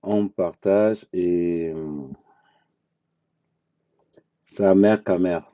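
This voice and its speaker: A man speaking bluntly in an unscripted live monologue, repeating phrases for emphasis and talking slowly and deliberately. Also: deep voice, fairly even intonation, dark tone, no echo. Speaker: social media commentator. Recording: dull lo-fi dry sound.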